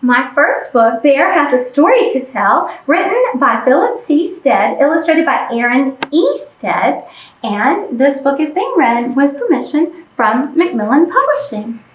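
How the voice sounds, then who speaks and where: A woman reading a picture book aloud to children as a storytime host, with expressive, deliberate delivery. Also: sits high. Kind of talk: animated children's read-aloud. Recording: echoey room.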